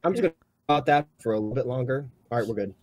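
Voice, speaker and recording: A man's voice: mid-range voice, animated, racing, a little mellow, a little hiss or hum. A man who does amateur voice acting, chatting casually on a livestream. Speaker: amateur voice actor in a cast.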